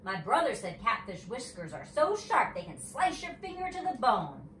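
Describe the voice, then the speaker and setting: An eager, headstrong little schoolboy from a storybook, acted by a woman. He talks boastfully in a high, bright voice with lively swings. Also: moderate pace, reverberant, far from the mic, slight background noise. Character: Polly Allen, eager headstrong young schoolboy.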